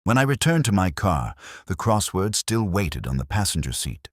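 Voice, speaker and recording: A man playing a weary, melancholy police inspector, narrating a detective drama in the first person in a measured, reflective, solemn way. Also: sits low, big pitch swings, no echo, clean studio sound. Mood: reflective, sombre.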